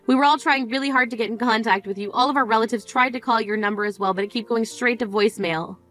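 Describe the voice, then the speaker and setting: A high-voiced, blunt sister in mourning, played by a woman, scolding her sibling with cutting disbelief. Her tone stays sharp and exasperated, over faint background music. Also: fast, natural voice. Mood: reproachful. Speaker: sister at a funeral. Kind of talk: scripted dramatic dialogue.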